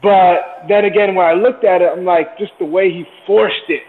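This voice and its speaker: A man in a casual podcast chat who thinks out loud at a measured pace. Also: sits high, steady tone, long reverb tail, phone-quality sound, a little hiss or hum. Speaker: podcast co-host.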